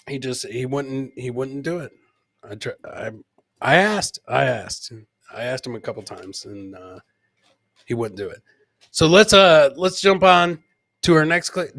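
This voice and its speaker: A man's voice: on the high side, a bit bright, no room sound, clean studio sound. A man hosting a casual live comedy show, chatting and reacting to clips, repeating himself and swearing freely. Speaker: podcast host.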